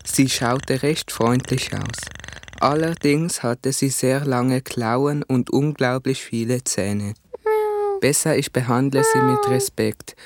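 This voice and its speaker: Very low-voiced, unhurried woman narrator. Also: big pitch swings, darkish tone, slight background noise. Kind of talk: scripted storybook narration.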